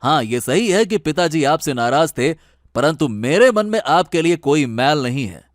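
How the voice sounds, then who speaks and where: A man's voice: a little high, animated, moderate pace, no room sound, studio quality, close to an everyday voice. A man playing a kind, trusting younger brother who speaks earnestly and respectfully in a cartoon story. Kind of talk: Hindi cartoon moral story acting.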